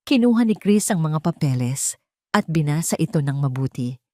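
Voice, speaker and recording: A Filipino woman narrates a dramatic audio love story in a lowish voice. The recording is dry and close, with no room around it. She reads scripted lines as a storyteller. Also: expressive, moderate pace, mellow, no echo.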